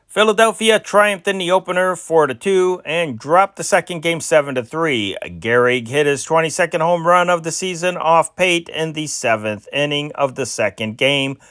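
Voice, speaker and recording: A man's voice: on the high side, fairly bright tone, dry recording, studio quality. A man reading old newspaper sports stories aloud as an amateur host, with a sing-song delivery that rises and falls sharply, and small slips and restarts. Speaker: newspaper reader, channel host.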